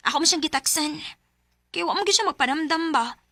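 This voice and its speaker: A woman's voice: sits high, lively intonation, a little fast, pushed and bright, no room sound, studio quality, full character voice. An actress playing a young student, a devoted and needy girlfriend and daughter, in a Bisaya radio drama with a Cebuano Filipino accent. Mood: upset, complaining. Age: young adult.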